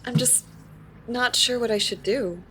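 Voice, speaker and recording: A woman's voice: on the high side, measured pace, fairly bright tone, slightly rough, rough recording. A woman playing an uncertain, guilt-prone newcomer to a support group in an audio drama, hesitant and questioning. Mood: worried.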